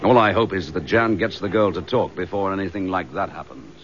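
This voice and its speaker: An actor playing a steady, dryly courteous middle-aged English chief inspector in a radio detective drama. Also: male voice, deep voice, fairly quick, slight background noise, close to an everyday voice. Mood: thoughtful, steady. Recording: dull lo-fi radio drama recording.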